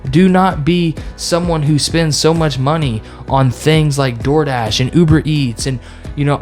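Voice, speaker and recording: A young man in his twenties giving earnest, repetitive money advice straight to his viewers in a casual vlog. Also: mid-range voice. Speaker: finance vlogger.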